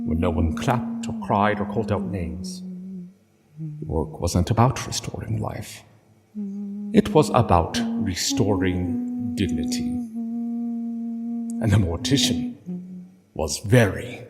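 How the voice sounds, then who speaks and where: A man narrating a literary story solemnly, in measured short phrases with dramatic pauses. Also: fairly low pitch, huge pitch swings. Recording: long reverb, music bed. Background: music.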